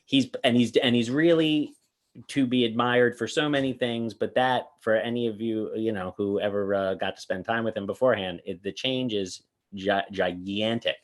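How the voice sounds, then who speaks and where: A low-voiced man in casual panel talk, circling through a story with false starts and self-corrections. He co-hosts a show about magic and talks freely, thinking aloud as he goes. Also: steady tone, normal speed. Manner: rambling anecdotes with frequent restarts. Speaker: co-host of a magic review show.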